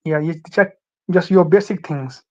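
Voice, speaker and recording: A young man from Pakistan with a Pakistani accent, Urdu his first language, giving halting advice as a guest with many fillers and restarts. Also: a little high, muffled recording. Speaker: guest, former student. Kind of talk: casual interview advice.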